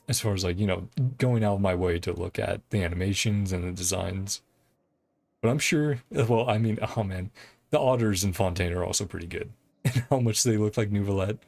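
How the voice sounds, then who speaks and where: Low-voiced man giving casual game commentary. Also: gravelly, darkish tone. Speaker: game streamer. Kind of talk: casual live gameplay commentary.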